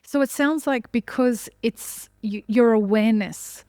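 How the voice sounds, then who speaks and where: A woman's voice: on the high side, measured pace, warm tone, no room sound, clean studio sound. A woman from Australia with an Australian accent, interviewing guests conversationally as a podcast host.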